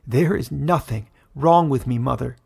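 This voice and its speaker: A man's voice: medium pitch, a little slow, vocal fry, darkish tone, breathy edge. A man voicing a defiant young girl in a fantasy audiobook, speaking earnestly and firmly. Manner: earnest, firm, emphatic. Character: defiant young girl standing up to her mother. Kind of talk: dramatic fantasy audiobook character reading.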